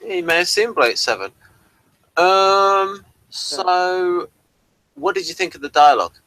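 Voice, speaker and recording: Man, podcast host, higher-pitched, lively intonation, bright tone, dry close sound, casual review talk. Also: slow, vocal fry, dry recording, low-quality recording. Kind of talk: casual discussion of an audio drama.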